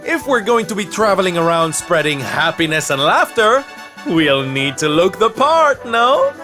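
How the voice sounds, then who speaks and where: A man's voice: high-pitched, sing-song, a little slow. A man voicing a flamboyant, theatrical male jester performer in a game cutscene, drawling and calling everyone darlings. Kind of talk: video game cutscene voice acting. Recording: game music behind.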